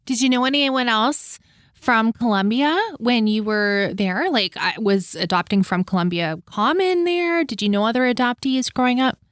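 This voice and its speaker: A woman's voice: fairly high pitch, moderate pace, dry recording, clean studio sound. A woman from Canada hosting a podcast, asking curious, attentive follow-up questions. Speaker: podcast host. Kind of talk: interviewing a guest.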